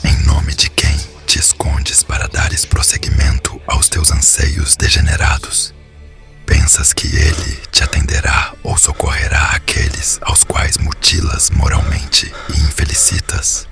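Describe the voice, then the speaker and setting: A man voicing a cruel, mocking tormenting spirit in a whispery, gravelly audiobook villain voice. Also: very deep voice, completely flat delivery, a bit bright, exaggerated voice. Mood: scornful menace. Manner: slow, mocking, menacing. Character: cruel male tormenting spirit, mocking sadistic slave-driver. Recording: background music.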